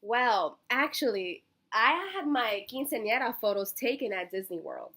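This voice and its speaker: Young American woman, early twenties, bright forward voice, effusive and chatty, as an eager interview candidate. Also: a little high, animated, normal speed. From Miami, Florida, United States. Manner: bubbly, effusive, giggly, chatty. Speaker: job interview candidate, college student. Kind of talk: casual phone interview answers.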